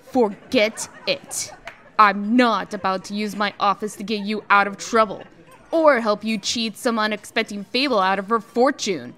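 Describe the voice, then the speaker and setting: A woman's voice: mid-range voice, measured pace, bright, forceful tone, a faint crowd. A woman playing an icy, venomous executive and scornful ex-wife, sarcastic and withering, in a comic dub.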